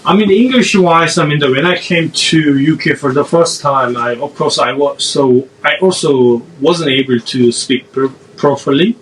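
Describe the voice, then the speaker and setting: A man from South Korea with a Korean accent, a podcast guest answering in a halting way and often restarting his phrases. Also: a little high, a bit bright, distant mic, low-quality recording. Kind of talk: casual interview answers.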